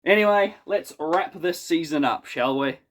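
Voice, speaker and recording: A man's voice: a little high, measured pace, crowd noise. A man with a New Zealand accent and a head cold, giving a chatty, wry spoken review in a casual, self-deprecating way from his small apartment. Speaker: YouTube reviewer.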